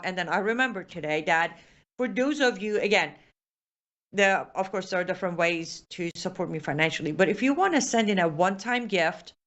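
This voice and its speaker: A woman's voice: fairly low pitch, fairly bright tone. A woman with a Persian accent, hosting a live show and chatting through viewer comments, with many fillers and restarts.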